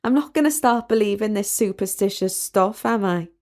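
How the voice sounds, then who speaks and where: A woman's voice: medium pitch, normal speed, darkish tone, lightly acted. A woman voicing a sceptical, down-to-earth young female college student in a storybook, with blunt, colloquial speech. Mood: stressed, sceptical. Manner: colloquial, blunt, casual wording.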